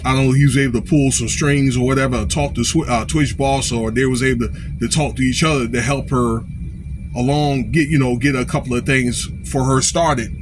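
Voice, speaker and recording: A man giving informal, unscripted commentary in a halting way, often checking in with short tags like okay and all right. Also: medium pitch, fairly even intonation, background noise. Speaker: online commentator.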